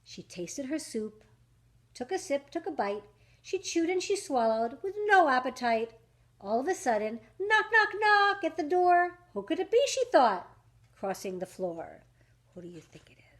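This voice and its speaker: A woman reading a rhyming picture book aloud to young children, with dramatic emphasis, drawn-out words and questions to her listeners. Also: high-pitched, big pitch swings. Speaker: children's story reader.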